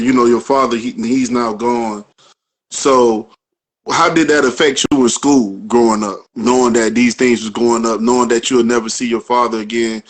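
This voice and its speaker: A man hosting a podcast, asking calm, probing interview questions in a conversational way. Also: mid-range voice, low-quality recording.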